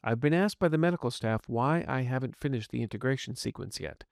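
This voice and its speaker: A man's voice: medium pitch, fairly quick, creaky, no echo, studio quality, close to an everyday voice. A man voicing a polite, curious artificial intelligence medical agent in an audio story, speaking in formal, precise and courteous wording. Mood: calm, reassuring. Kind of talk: scripted audio fiction dialogue.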